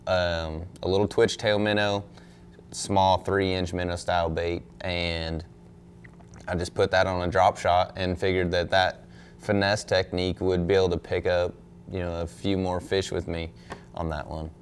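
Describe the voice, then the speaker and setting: A young man, a pro angler, answering questions at a press conference in a relaxed, thoughtful way, full of "you know" and "um". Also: low-pitched, a little slow, a little hiss or hum. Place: indoor press room in front of a backdrop. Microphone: desk microphone on a stand.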